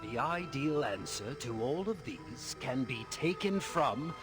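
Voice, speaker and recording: An actor playing a pompous, self-assured company executive speaking formally in a video game. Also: male voice, medium pitch, animated, slow, music in the background. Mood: dismissive, promotional. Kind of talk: scripted interview speech in a video game.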